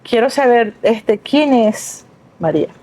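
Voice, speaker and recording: A woman hosting a podcast, asking encouraging, conversational questions with lively reactions. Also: on the high side, takes it slowly, poor audio. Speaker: podcast host, interviewer. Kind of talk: casual conversational interview.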